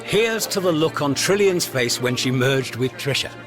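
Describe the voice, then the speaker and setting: A man's voice: medium pitch, animated, moderate pace, natural voice. A man playing a breezy, knowing galactic traveller in a radio comedy drama. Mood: convivial. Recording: music behind dialogue.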